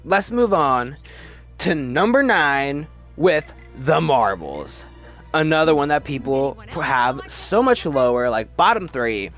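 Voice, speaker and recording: A man talks as a video host in a casual, unscripted way. His voice sits a little high and swings widely in pitch as he gives opinions, often restarting a thought midway. Faint music plays behind him. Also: big pitch swings, slow, a bit bright. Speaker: video host reviewing films. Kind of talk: casual ranking commentary, off the cuff.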